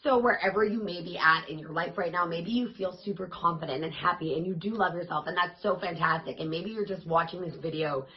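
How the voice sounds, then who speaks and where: A woman with a raspy, worn-out voice that sits a bit low, chatting to her viewers as an online fitness coach. She stays upbeat and encouraging. The sound is a little dull and lo-fi. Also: a little fast, hardly any pauses. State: hoarse, voice worn out. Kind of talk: casual vlog talk to camera.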